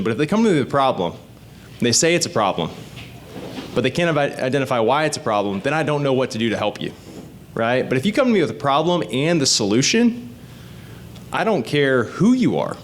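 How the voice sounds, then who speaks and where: A man in his thirties talks plainly and conversationally to a local group, explaining things step by step. His voice sits at an ordinary middle pitch, and a faint low rumble runs underneath. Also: very animated, fairly quick. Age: 30s. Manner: conversational and explanatory, often asks the audience questions. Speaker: state legislative candidate. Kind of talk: informal talk to a community group.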